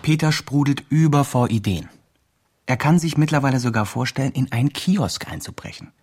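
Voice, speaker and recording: An earnest, patronising man mentor, slightly husky. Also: medium pitch, lively intonation, normal speed, a little mellow. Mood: earnest, reflective. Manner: gushing encouragement, therapy-speak. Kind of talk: radio drama, dialogue and reflective narration.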